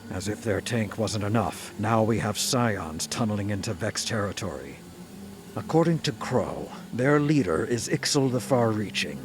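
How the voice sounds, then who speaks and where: A man playing a grave, dutiful military commander in a video game, giving a serious, measured briefing. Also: lowish voice, background noise, lightly acted. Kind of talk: dramatic video game acting. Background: game music.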